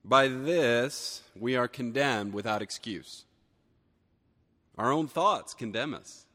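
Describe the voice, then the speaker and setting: A man preaching a sermon, a little hoarse from a cold, earnest and building emphasis on key phrases. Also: medium pitch, slow, bright, forceful tone, big-room sound. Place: church sanctuary.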